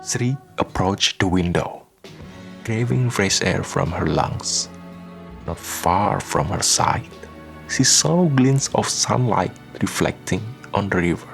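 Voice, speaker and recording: A man narrating a scripted radio drama in a solemn, measured way. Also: deep voice, expressive, vocal fry. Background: faint music.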